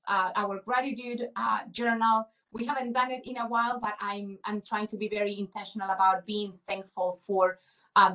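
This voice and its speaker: A woman in her forties from Chile, reflecting aloud in a webinar presentation, often pausing on fillers and restarting her sentences. Her voice sits at an ordinary middle pitch. She sounds a little distant from her microphone on a video call. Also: fairly bright tone. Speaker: guest speaker.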